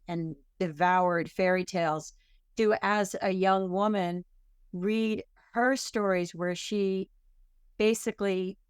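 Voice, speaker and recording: A woman author being interviewed on a podcast, answering thoughtfully and often pausing to rephrase. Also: on the low side, smooth, no room sound, studio quality. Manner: thoughtful, self-correcting, searching for words. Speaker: author guest.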